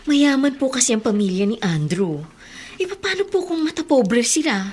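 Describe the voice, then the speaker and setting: A protective young Filipina elder sister, earnest and burdened, with a fairly high voice. It is heartfelt radio drama acting over faint noise. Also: female voice, very animated, normal speed, a little mellow. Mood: worried, weary.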